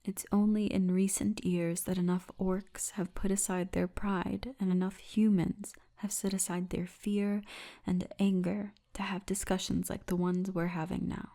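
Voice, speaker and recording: A woman voicing a friendly female orc tour guide in an intimate ASMR roleplay, speaking softly and often breathily. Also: medium pitch, flat delivery. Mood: gentle, earnest. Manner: soft, intimate, unhurried storytelling, often breathy. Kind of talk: ASMR roleplay, addressed to the listener.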